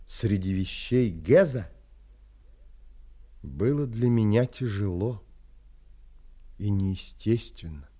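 An actor playing an earnest, reflective gentleman traveler, narrating an audio drama in literary, polite phrasing. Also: mid-range voice. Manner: literary, measured, polite but firm.

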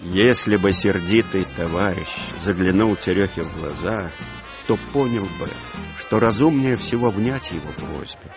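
Expressive male narrator over music. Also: deep voice, unhurried. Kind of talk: literary narration in an audio play.

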